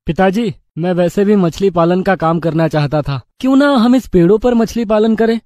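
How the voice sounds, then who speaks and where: A man's voice: high voice, normal speed, a little mellow, dry recording, natural voice. A man acting an earnest, protective farmer's son in a cartoon story. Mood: hopeful.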